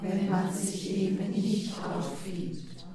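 A woman in an experimental radio play voicing a despairing, terminally ill daughter pleading with her mother, halting and repeating herself in chant-like phrases. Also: mid-range voice, a crowd in the background, natural voice. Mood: halting, desperate. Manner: halting, repetitive, chant-like, breaking into song. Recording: very echoey space with many voices.

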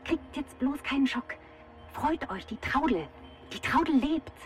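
An actress playing an excitable salon woman who talks urgently over a secret intercom in a children's radio play. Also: high-pitched, slow pace, faint music behind. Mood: excited, conspiratorial.

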